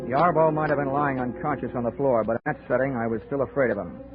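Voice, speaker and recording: A man's voice: mid-range voice, quick pace, natural voice. A man playing a hard-boiled insurance investigator, narrating with dry wisecracks in a 1940s radio detective drama. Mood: wry. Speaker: narrator and lead. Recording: tinny old radio recording with music.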